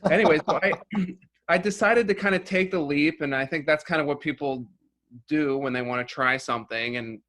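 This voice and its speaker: Guy whose first language was russian, bright forward voice, rambly "you know" interview chat. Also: a little high, moderate pace. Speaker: filmmaker guest. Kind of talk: casual interview talk.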